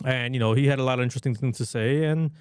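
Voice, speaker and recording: A man whose first language is Polish rambles through a casual podcast chat, restarting sentences and leaning on fillers. His pitch sits in the middle of the male range. The mic sound is dry, with no room around it. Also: rapid-fire, clean tone, no room sound. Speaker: podcast co-host.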